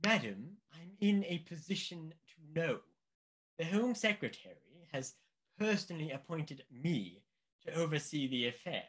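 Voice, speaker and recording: An actor playing a pompous, condescending British government official in a radio play, speaking formally and emphatically. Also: male voice, on the high side, expressive, a little slow, a bit bright. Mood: urgent, pompous.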